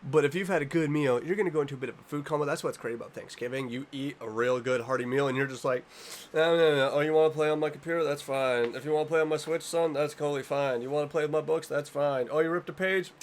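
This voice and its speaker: A man's voice: on the high side, fast talker, a little hiss or hum. A man hosting a reaction video, reading aloud and adding casual commentary with wry, jokey asides.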